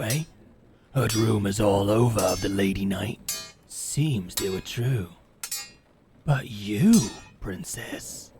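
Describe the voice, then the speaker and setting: A man playing a crude, menacing leader of medieval hired killers, sneering and boastful in a fantasy audio drama. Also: mid-range voice. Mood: mocking. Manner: sneering, boastful, rough colloquial talk.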